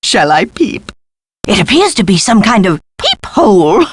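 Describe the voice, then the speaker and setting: A woman's voice: medium pitch, big pitch swings, unhurried, breathy edge. An actress playing a whimsical, snooping female eccentric in a video game, with an affected sing-song delivery. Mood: playfully snooping.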